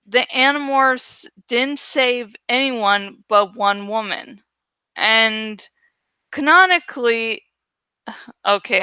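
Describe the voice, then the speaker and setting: A woman's voice: fairly high pitch, pushed and bright, smooth, no room sound. A woman hosting a book read-aloud show, giving informal fan commentary that rambles and digresses. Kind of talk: casual, rambling fan commentary between dramatic readings.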